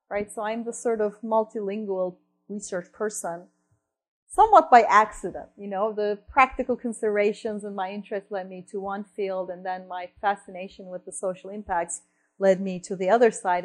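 A woman from Turkey, a researcher and columnist, giving a lecture-style talk, thinking aloud with frequent "right?" and restarts. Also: medium pitch.

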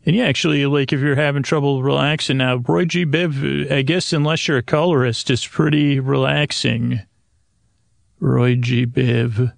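A man hosting a sleep podcast in a halting, digressive, meandering monologue, often interrupting himself and trailing off, with a gravelly creak to his voice. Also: medium pitch, dry recording. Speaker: podcast host. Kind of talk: rambling, meandering bedtime monologue to help listeners sleep.

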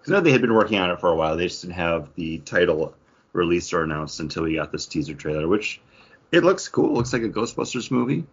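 A man's voice: sits low, sing-song, fast, slightly rough. A man co-hosting a podcast, chatting casually about pop culture. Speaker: podcast co-host. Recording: dull, lo-fi audio.

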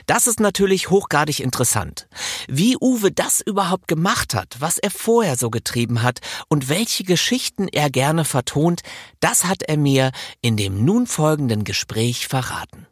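A man's voice: on the high side, big pitch swings, normal speed, husky, dry recording. A man presenting and interviewing as the host of an audiobook talk podcast, on a close studio microphone. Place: recording studio.

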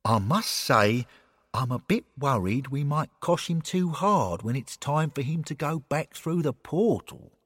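A man voicing a courteous, worried older gentleman in a story, mild and measured, sometimes mumbling. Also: a little high, lively intonation, husky, no echo, clean studio sound, long reverb tail, pitched above the performer's own voice, exaggerated voice. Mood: worried, wry.

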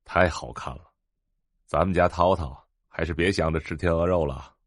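An actor playing an excitable, admiring middle-aged husband and father, exclaiming earnestly. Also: sits low, moderate pace, no room sound, studio quality.

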